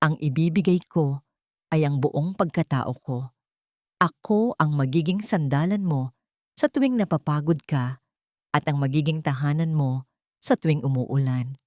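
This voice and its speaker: A woman's voice: low-pitched, measured pace, pauses often, a little mellow, no room sound, clean studio sound. A woman with a Filipino accent voicing a devoted male doctor in love, speaking tenderly and earnestly in an audio story.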